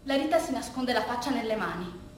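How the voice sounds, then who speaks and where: A woman's voice: a little high, animated. Female monologue actress, conversational bittersweet storyteller, recorded from a distance in a strongly reverberant room. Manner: halting, conversational storytelling with dropped, hushed asides. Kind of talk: bittersweet theatrical monologue in a colloquial first person. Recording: very roomy, distant stage recording, faint noise.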